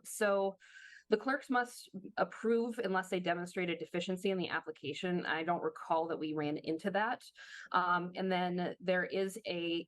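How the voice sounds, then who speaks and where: Slightly hoarse woman presenting slides. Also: lowish voice, no room sound. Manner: explanatory, with frequent fillers and restarts. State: slightly hoarse, losing her voice. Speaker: presenter at a workshop. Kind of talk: webinar presentation walking through slides.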